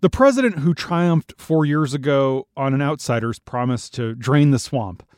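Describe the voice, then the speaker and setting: A man's voice: medium pitch, darkish tone, no echo, studio quality. An American man hosting a news podcast, reading a scripted political narration.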